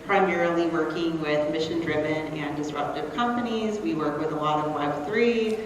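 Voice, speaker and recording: A woman's voice: sits low, normal speed, noisy background. A woman moderating a live panel, upbeat and encouraging, recorded from a distance in a large echoey hall. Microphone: distant from the recording mic. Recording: roomy hall sound from far off.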